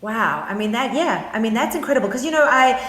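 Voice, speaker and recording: A woman's voice: on the high side, expressive, quick pace, fairly bright tone. South African woman, co-host, eager and chatty with lots of fillers, echoey room sound. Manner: enthusiastic, frequent fillers and restarts. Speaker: podcast co-host and interviewer. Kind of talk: casual chat.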